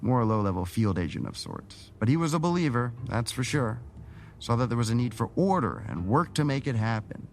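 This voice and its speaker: A man playing a composed, probing city councilman with hidden schemes, in a measured radio drama performance. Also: mid-range voice, expressive, a little hiss or hum, natural voice. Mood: reflective, sly.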